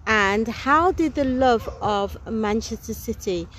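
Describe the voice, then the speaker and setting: Woman, podcast host, chatty, enthusiastic, slightly high voice, faint background noise. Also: animated, slow pace, fairly bright tone. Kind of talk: casual podcast chat.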